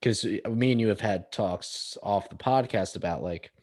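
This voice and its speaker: Young male co-host with a low voice, relaxed and self-deprecating. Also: moderate pace. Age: young adult. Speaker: podcast co-host. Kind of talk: casual chat.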